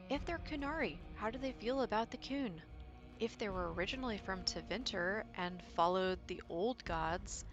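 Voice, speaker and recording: A woman presents scripted video game lore as the host of her own video. Her voice sits at a middling pitch for a woman. Music plays behind her narration.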